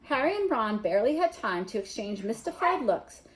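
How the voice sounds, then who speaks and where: A woman reading a children's novel aloud in an expressive narrating style. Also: fairly high pitch, moderate pace, low-quality recording. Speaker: storybook reader.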